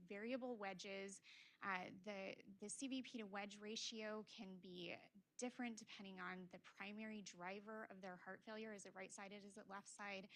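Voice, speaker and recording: Soft-spoken woman giving a medical lecture. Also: medium pitch, gravelly, low-quality recording. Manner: soft-spoken, explanatory, asks the audience questions. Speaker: cardiologist lecturer. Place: lecture hall with speakers.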